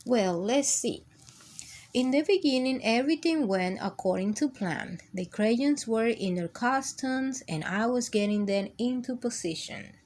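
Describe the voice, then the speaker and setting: A woman's voice: a little high, animated, measured pace, a little mellow. A woman playing a theatrical crayon in charge of a picture book, addressing the reader in a formal, dramatic way. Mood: explaining. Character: theatrical crayon stage manager telling of a ruined book.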